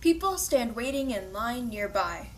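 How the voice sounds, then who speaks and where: Woman narrating a radio play script, kinda high voice, bit of background noise. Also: expressive, unhurried, rough recording. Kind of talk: scripted narration of stage directions.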